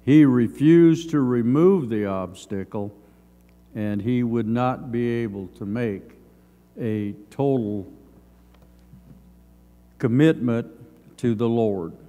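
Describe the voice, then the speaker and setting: Older man, Bible lesson from notes, slow measured pace, halting phrases, medium pitch, long hall reverb. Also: warm tone, dull audio. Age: older, around 70s. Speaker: Sunday school teacher. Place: church sanctuary, at a lectern. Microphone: gooseneck lectern microphone.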